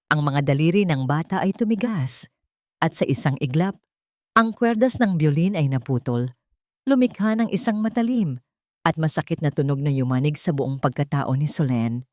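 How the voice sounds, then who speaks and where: A woman's voice: deep voice, darkish tone, no room sound, studio quality. A woman narrating a scripted audio drama in a solemn, emotive storytelling manner.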